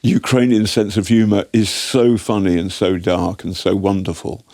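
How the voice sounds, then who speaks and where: A man's voice: on the low side, no room sound, clean studio sound. Wry English man telling war stories. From Britain. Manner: wry, animated storyteller with halting restarts. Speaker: guest journalist. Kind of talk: anecdotal storytelling in interview. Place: TV studio.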